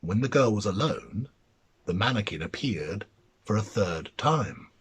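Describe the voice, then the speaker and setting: Expressive, slow-paced male storyteller. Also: on the low side, slightly rough. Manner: theatrical, deliberate. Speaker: storyteller, reader. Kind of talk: dramatic fairy-tale narration.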